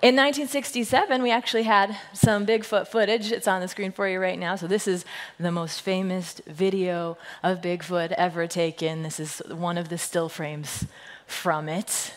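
A woman pastor with a husky, slightly hoarse voice speaks to a church congregation. She tells stories and jokes playfully, slipping into quoted voices. Her words trail off in the long reverb of a large sanctuary. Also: on the low side, normal speed. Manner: playful storytelling, self-deprecating jokes, lively quoted voices. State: husky voice today. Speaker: senior pastor. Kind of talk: humorous sermon to a congregation.

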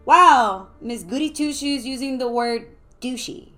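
A woman's voice: high voice, lively intonation, a little slow, a bit bright, soft background music, voice pushed up. A woman voicing a brash, teasing, joking teenage cheerleader girl in an audiobook story. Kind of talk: audiobook character dialogue.

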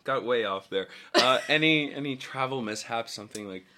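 A man's voice: mid-range voice, moderate pace, pushed and bright. A man hosting a casual podcast chat, joking and teasing his guest, often laughing through his lines. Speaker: podcast host.

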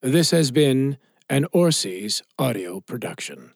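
A man with a gravelly, husky voice doing dramatic, scripted announcing for an audio drama podcast. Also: medium pitch, big pitch swings, slow pace, darkish tone, dry recording, studio quality.

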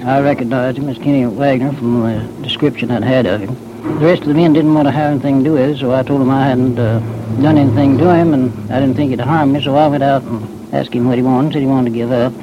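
A man with a Southern Appalachian accent, a storekeeper plainly recounting what he saw in a halting, folksy manner. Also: medium pitch, fairly even intonation. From rural Virginia, United States. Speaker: storekeeper and eyewitness. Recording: noisy, dull old field recording.

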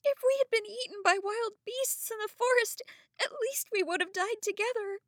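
A woman's voice: very high voice, normal speed, no echo, clean studio sound, voice pushed up. A woman voicing a frightened little girl who pleads through tears. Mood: despairing. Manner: pleading, tearful.

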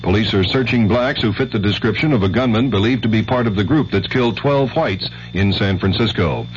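A man's voice: lowish voice, brisk. An American man anchoring network radio news, reading formally from a script. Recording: dull noisy 1970s AM radio recording.

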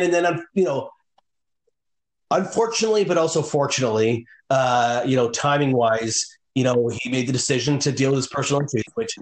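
A man's voice: medium pitch, roomy sound, rough recording. A man co-hosting a casual wrestling podcast, rambling with lots of fillers and restarts. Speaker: podcast co-host. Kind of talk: casual chat.

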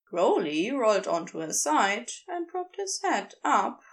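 A woman reading scripted fiction as a narrator, in an expressive storytelling style. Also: a little high, a little slow. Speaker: podfic narrator.

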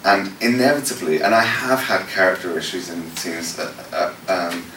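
A man with a low voice fields questions at a church gathering, stumbling through fillers and restarts as he thinks aloud. He sounds distant from the mic, in a roomy hall with noise around him. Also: lively intonation, normal speed, sharp tone. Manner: hesitant, many fillers and restarts. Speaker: worship leader leading a panel session. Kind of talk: unscripted talk answering questions. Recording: echoey room, distant mic, noisy.

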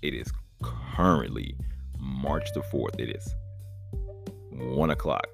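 A man's voice: slow, creaky, over music. A man in his thirties with an Oklahoma accent, hosting a casual solo podcast in a rambling, profane, laid-back way. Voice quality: deep voice. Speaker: podcast host.

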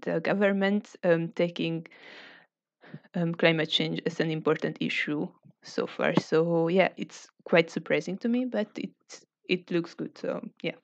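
A woman's voice: on the low side, unhurried, gravelly, no echo, dull audio. A woman from Hungary hosting a casual online group discussion, speaking hesitantly with many filler sounds. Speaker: discussion host.

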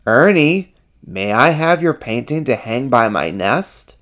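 Giant bird, friendly, hearty, enthusiastic, slow drawn-out speech, storybook character acted by an adult reader. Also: medium pitch, very animated, a bit bright, pitched above the performer's own voice.

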